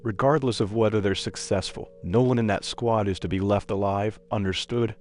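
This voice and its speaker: A man's voice: on the low side, steady tone, normal speed, darkish tone, slight background noise, natural voice. A man playing a cold, ruthless old court schemer in a dubbed comic drama. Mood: cold, plotting. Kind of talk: dubbed comic-recap character dialogue. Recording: voice over background music.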